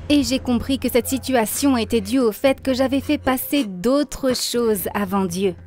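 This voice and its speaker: A woman's voice: a little high, darkish tone, lightly acted. A woman voicing a devout, grateful young woman in a cartoon, speaking earnestly and solemnly. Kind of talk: dubbed cartoon monologue, testimony. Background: faint background music.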